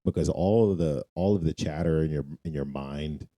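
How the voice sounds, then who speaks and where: A man's voice: very deep voice, moderate pace, mellow. A man co-hosting a podcast, speaking casually and conversationally, close to the microphone. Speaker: podcast co-host. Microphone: podcast microphone close to the mouth.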